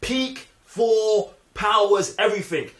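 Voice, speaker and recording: A man's voice: sits high, slow pace, a bit bright. A young man with a London accent chatting casually and emphatically about films, full of slang. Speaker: film review podcast host. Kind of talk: casual opinionated film chat. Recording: echoey room.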